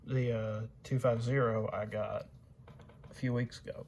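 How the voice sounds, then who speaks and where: A young man in his early twenties talks slowly at a middling pitch. He is casually showing off gear as a hobbyist, often stopping mid-sentence. Faint noise sits behind him in a home recording. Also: little pitch movement, gravelly, a little mellow. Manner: halting, pausing mid-sentence. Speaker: hobbyist showing weather radios. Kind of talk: casual unscripted product demonstration.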